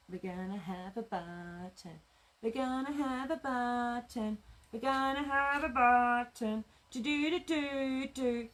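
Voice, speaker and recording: A woman's voice: a little high, slight background noise. Chatty woman sewing on air. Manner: chatty, mutters to herself while working; reads out and answers viewer comments. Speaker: craft shop owner demonstrating sewing. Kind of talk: live-streamed craft demo chat. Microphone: tablet streaming live.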